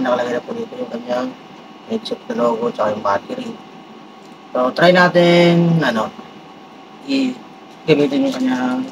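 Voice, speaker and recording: A man with a Filipino accent giving a casual, halting unboxing review with a gravelly voice. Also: medium pitch. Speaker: vlogger doing a product unboxing and test. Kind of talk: casual unboxing review, talking while handling items. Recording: clearly noisy, steady background hum.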